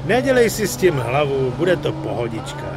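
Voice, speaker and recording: An actor playing a brash, cocky, streetwise young mobster buddy with a swaggering, slangy way of talking in a crime game. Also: male voice, big pitch swings, background noise. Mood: breezy, streetwise. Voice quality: high-pitched, slangy, swaggering. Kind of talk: dubbed crime game dialogue.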